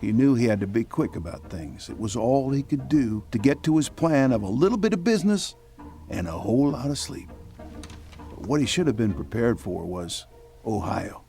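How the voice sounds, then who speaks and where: An actor playing a wry, folksy storyteller, giving scripted narration for a period audio drama. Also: male voice, mid-range voice, very animated, normal speed, gravelly, warm tone, faint music behind. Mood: wry, measured.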